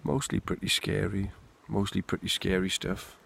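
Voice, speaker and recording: A man's voice: low voice, completely flat delivery, normal speed, creaky, a bit bright, breathy edge. A Welsh man rambling candidly and swearing freely in an unscripted monologue, recorded outdoors in the wind. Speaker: vlogger.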